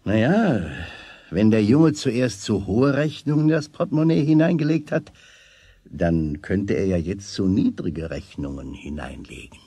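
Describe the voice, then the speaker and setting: An actor playing a worldly-wise shopkeeper in a radio play, husky, in measured, halting phrases. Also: male voice, on the low side, big pitch swings, warm tone, dry recording, exaggerated voice. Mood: pondering advice. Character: slow, worldly-wise male shopkeeper, drawling his advice.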